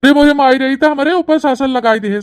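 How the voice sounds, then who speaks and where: A woman acts out a henpecked young cartoon husband in a high voice. He grumbles with whiny exasperation in an Awadhi accent. The sound is close and dry. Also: a little fast, no room sound, close to an everyday voice. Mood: flustered. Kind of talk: exaggerated cartoon comedy dialogue.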